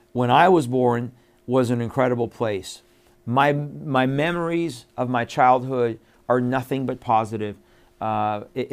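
A middle-aged man from Iran hosting his own show, talking earnestly and emphatically to the viewer with many fillers and repeated phrases. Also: medium pitch. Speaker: host. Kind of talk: earnest monologue to camera.

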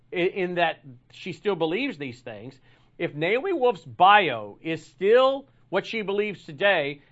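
A man's voice: high-pitched, fairly bright tone. Combative man hosting a talk show. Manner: combative, repeats words and restarts. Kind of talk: opinionated broadcast monologue. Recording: dull lo-fi broadcast audio.

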